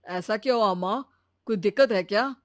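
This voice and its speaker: A woman voicing a kind, supportive young husband in a cartoon, speaking gently and reasonably. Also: mid-range voice, normal speed, dry recording, clean studio sound, natural voice. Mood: gentle.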